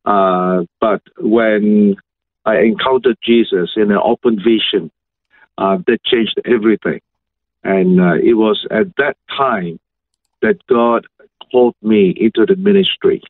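A middle-aged man with a Malaysian accent, a pastor answering interview questions in halting, measured phrases over a video call. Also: on the low side, dry recording. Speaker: senior pastor, interview guest. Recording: thin phone-like video call audio.

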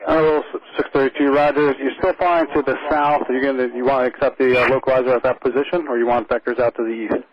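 Man, air traffic controller, quick delivery, calm, reassuring, procedural, muffled radio channel. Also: medium pitch.

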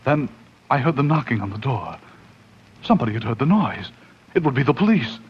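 A man's voice: medium pitch, sing-song, fairly quick, natural voice. An actor playing a haunted man unravelling into madness, melodramatic and overwrought in 1940s radio horror style. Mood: frantic. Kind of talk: 1940s radio horror acting, dramatic narration. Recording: muffled, faintly noisy 1940s radio recording.

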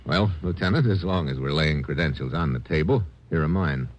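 An actor playing a tough, wry American adventurer in a 1950s radio drama, narrating and talking in terse, deadpan lines. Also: male voice, rumbling low, brisk. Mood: dry, brusque. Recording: old radio recording with music.

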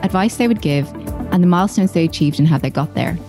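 A woman's voice: lowish voice, lively intonation, fast, over music. An Irish woman hosting a business podcast, reading her intro and interviewing a guest. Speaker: podcast host and business coach.

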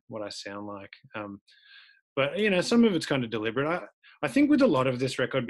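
An Australian man with a deep, narrow-ranged voice, a band member answering interview questions casually, with lots of fillers and restarts. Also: a bit bright. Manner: hesitant, many restarts and fillers.

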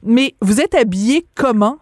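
Lively woman hosting a radio interview. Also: a little high, moderate pace, slight background noise. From France. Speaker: host.

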